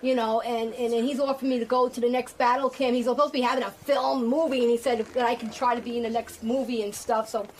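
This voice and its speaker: A middle-aged woman, a loud, fast-talking radio guest who is blunt and swears freely. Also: a little high, level tone, a little hiss or hum, poor audio. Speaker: guest, internet pet show host. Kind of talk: casual radio chat.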